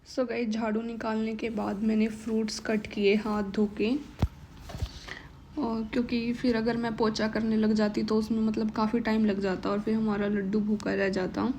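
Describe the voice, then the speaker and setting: A woman's voice: on the high side, monotone, moderate pace, dark tone, slight background noise. A young woman with a sore throat narrating her day in a casual home vlog, chatty and mixing English words into Hindi. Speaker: vlogger.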